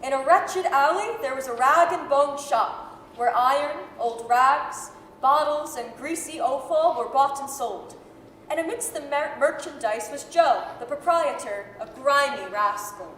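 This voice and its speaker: A woman's voice: high-pitched, measured pace, a bit bright. A woman playing a grave storyteller, narrating a staged 1930s radio-style drama. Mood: grave, descriptive. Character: grave storyteller narrating a Victorian ghost tale. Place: large theatre hall, staged reading. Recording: echoey hall, faint noise.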